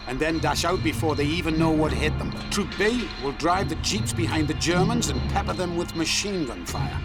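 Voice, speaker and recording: An actor playing a brisk, commanding British army officer in a war video game, giving urgent clipped orders. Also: male voice, a little high, gravelly. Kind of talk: video game military acting. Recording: loud music over voice.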